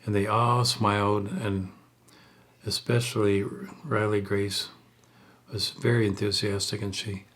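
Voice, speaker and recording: A man telling a story aloud in a halting, measured way, unpolished and in short phrases. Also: fairly low pitch, flat delivery, a bit bright.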